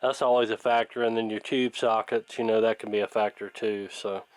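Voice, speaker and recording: A man giving casual hands-on workshop commentary, thinking aloud in a halting, plainspoken, folksy way, like a hobbyist hosting a repair video. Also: on the low side, little pitch movement, clean tone, no echo.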